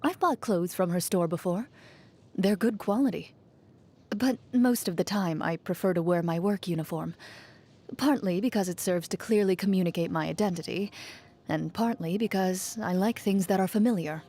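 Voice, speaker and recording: A woman playing a composed, dutiful young female champion duelist in a video game, speaking in a formal, measured, reserved way. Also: fairly low pitch, darkish tone. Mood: composed, reflective. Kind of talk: video game character voice lines, reflective monologue. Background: music under the voice.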